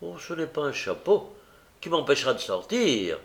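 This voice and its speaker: A man voicing a stubborn, desperate young woman who pleads insistently and haltingly in a story reading. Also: fairly high pitch, very animated, gravelly, echoey room, voice pushed up. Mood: agitated, insistent.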